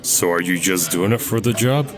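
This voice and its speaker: A man's voice: low voice, normal speed, a crowd in the background, lightly acted. An actor playing a lonely, guilt-ridden young man who talks in a hesitant, subdued way, in an audio drama. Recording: audio drama with music underneath.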